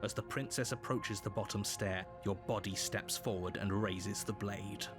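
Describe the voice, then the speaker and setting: A man performs a stern British narrator telling a dark fantasy tale in a visual novel. The voice is on the low side, measured and solemn, giving orders with authority. Music plays clearly underneath. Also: steady tone, natural voice.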